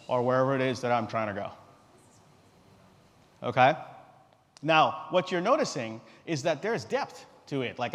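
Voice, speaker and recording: A middle-aged man from Guyana giving an informal live stage presentation in a relaxed, direct, joking manner. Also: mid-range voice. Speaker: company founder presenting a tech demo. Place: conference stage in a large reverberant hall. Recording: echoey hall through a stage PA.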